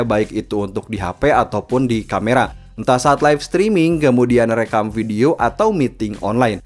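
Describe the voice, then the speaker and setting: Male Indonesian gadget reviewer, scripted narration, mid-pitched voice over music. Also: darkish tone.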